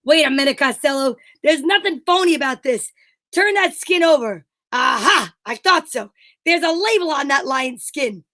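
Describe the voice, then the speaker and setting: Woman as very high, bright bossy straight man. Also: expressive, moderate pace. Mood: bossy, suspicious. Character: Abbott, bossy straight man of comedy duo. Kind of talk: 1940s radio comedy acting.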